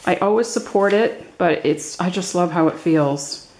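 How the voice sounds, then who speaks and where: An older woman giving a casual, unscripted show-and-tell as a craft vlogger, halting and thinking aloud as she goes. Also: lowish voice, darkish tone, rough recording. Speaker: craft vlogger showing her handmade journals. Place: indoors at a table, hands-only overhead camera.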